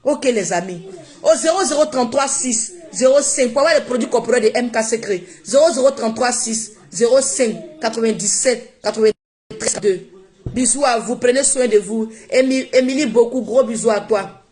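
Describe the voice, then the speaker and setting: A woman's voice: a little high, moderate pace, a little mellow. A woman whose first language is not French, speaking emphatically and repeating herself in a casual live-stream monologue on her phone. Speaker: social media live streamer.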